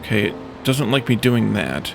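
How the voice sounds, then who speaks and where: A man's voice: fairly low pitch, very animated, normal speed, creaky, music in the background. A man giving casual let's play commentary, thinking aloud and musing to himself as he plays.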